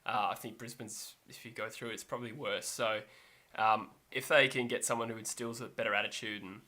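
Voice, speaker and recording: A man's voice: on the low side, fairly even intonation, fairly quick, bright, forceful tone. A man co-hosting a sports podcast, talking in a rambling, self-correcting way and laughing easily. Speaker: podcast co-host. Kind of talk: casual sports chat.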